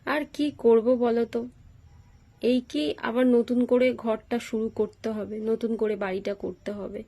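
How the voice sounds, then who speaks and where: A woman's voice: on the high side, level tone, unhurried, mellow. A woman voicing a weary, friendly elder brother in a homemade doll story. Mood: sorrowful. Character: weary, friendly elder brother who lost his house.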